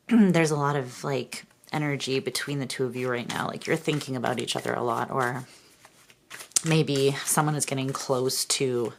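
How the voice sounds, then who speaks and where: Hoarse woman, very deep register, casual tarot reader, frequent throat clearing, rambling delivery. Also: slight background noise. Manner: keeps clearing her throat, meandering with fillers. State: hoarse, losing her voice. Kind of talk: casual tarot reading to viewers.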